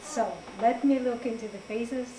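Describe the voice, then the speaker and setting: Brief: high-voiced woman from Morocco, hesitant and laughing speaker, picked up from a distance. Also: steady tone, mellow. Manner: halting, many fillers, laughs often. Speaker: guest presenter. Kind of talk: informal talk to an audience. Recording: echoey room, distant mic, faint noise.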